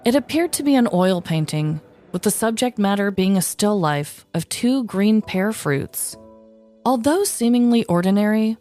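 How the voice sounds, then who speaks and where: A woman's voice: medium pitch, expressive, normal speed, warm tone. A woman hosting a podcast, narrating a scripted story in a descriptive, mock-dramatic way. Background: music.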